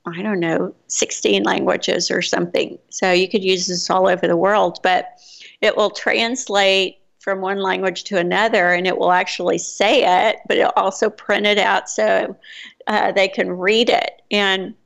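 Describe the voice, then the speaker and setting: A woman's voice: medium pitch, little pitch movement, unhurried, creaky. A woman with a Texas accent, an American living abroad, chatting casually in a rambling way with fillers and restarts. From United States. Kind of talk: casual chat.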